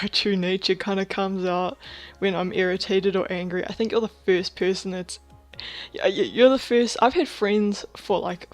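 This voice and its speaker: A woman in her twenties from Thailand, talking candidly in a personal video diary, rambling with lots of fillers and soft laughs. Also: mid-range voice. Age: 20s. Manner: drops to a whisper about housemates. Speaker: vlogger.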